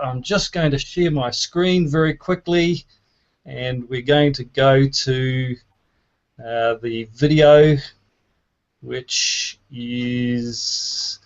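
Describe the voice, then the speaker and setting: A man's voice: mid-range voice, lo-fi sound. A man hosting an online networking event, enthusiastic and full of "uh" fillers. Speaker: host.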